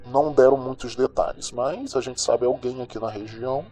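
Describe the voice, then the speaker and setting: A man's voice: mid-range voice, fairly even intonation, normal speed, dark tone, natural voice. A Brazilian man playing a cynical, gossipy small-town crime news host in an audio drama, talking in a slangy, sardonic, colloquial way. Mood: sardonic, animated. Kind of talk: audio drama monologue as chatty radio host. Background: music.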